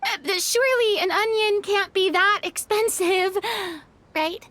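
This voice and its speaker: A woman voicing a polite young traveler, very high. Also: a little slow, fairly bright tone, no echo. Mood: curious. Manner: polite and inquisitive.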